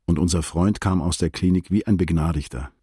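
A deep, husky narrator of a literary radio play. He recounts a sad night soberly and precisely, with measured restraint. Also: dark tone, dry recording, clean studio sound. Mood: reflective.

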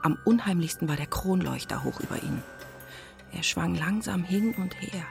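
A woman with a husky voice narrating a scripted children's radio play as storyteller. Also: lowish voice, expressive, moderate pace, darkish tone, faint music behind.

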